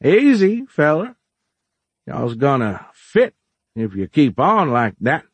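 A man voicing a weary, fatherly old shopkeeper with a slow Southern US drawl in a story. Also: a little high, huge pitch swings, husky, no room sound, voice pushed up, exaggerated voice. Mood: slow, folksy.

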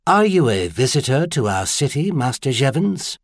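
A man voicing a gracious, charming, poised courtesan, softly spoken, in audiobook dialogue. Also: medium pitch, very animated, normal speed, dry recording, studio quality.

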